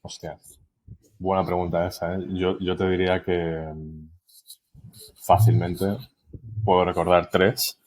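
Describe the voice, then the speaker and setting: Deep-voiced Málaga man, flat and relaxed. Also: measured pace, long pauses, a little hiss or hum, poor audio. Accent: Andalusian Spanish from Málaga. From Spain. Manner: relaxed, chatty, laughs easily, many fillers. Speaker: podcast guest. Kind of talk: casual interview chat.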